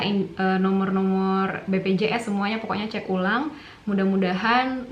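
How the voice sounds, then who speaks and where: A young woman casually answering viewer questions in a conversational, explanatory way, as a vlogger giving advice. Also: medium pitch. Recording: echoey room, dull sound, faint noise.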